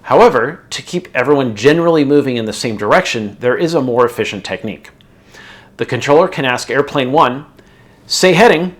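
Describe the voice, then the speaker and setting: Male instructor for explainer narration; animated intonation, methodical delivery, clear stress on transitions. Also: mid-range voice, fairly quick. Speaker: instructor, video host.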